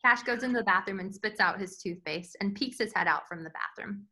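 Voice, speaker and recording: A woman's voice: medium pitch, brisk, bright, forceful tone. A woman reading screenplay stage directions as scripted narration in a table read.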